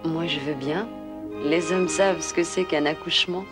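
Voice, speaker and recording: An actress playing a gentle woman traveller in a cartoon. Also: deep voice, fairly even intonation, moderate pace, loud music behind the voice, close to an everyday voice. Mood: weary, resigned. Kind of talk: French cartoon dub acting.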